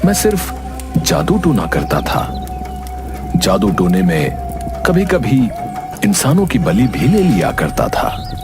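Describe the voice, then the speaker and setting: A man playing a vengeful, gloating sorcerer sadhu in a cartoon story, speaking in theatrical phrases with dramatic pauses. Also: fairly low pitch, huge pitch swings, music in the background, heavily acted. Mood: bitter, vengeful.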